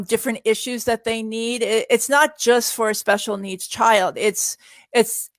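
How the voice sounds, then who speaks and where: A woman's voice: medium pitch, creaky, fairly bright tone, no echo. A middle-aged woman chatting casually in a live Q&A, telling anecdotes and repeating her words as she goes. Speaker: guest parent and experienced product user answering viewer questions.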